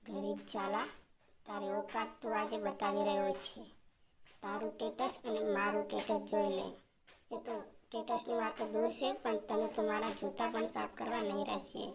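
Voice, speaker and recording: A woman's voice: medium pitch, level tone, moderate pace, crowd noise, lightly acted, rough recording. A woman voicing a haughty rich young woman in a cartoon story. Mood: scornful.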